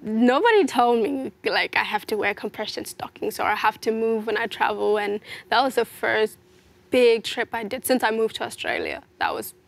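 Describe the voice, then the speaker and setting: A young woman from South Sudan talks through her story in a relaxed interview, earnest and reflective. Her voice sits a little high and carries a lot of gravelly fry, and she fills her thoughts with "you know" as she goes. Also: moderate pace. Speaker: interview guest, fashion model. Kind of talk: casual personal interview.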